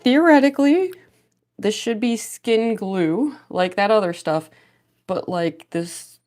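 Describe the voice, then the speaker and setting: A young woman livestreaming as she does her cosplay makeup, rambling casually with lots of fillers and soft laughs, her voice a little stuffy and sniffly as she gets over a cold. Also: mid-range voice, slow pace. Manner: rambling, many fillers, laughs often, reads chat aloud. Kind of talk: casual livestream chat while doing makeup.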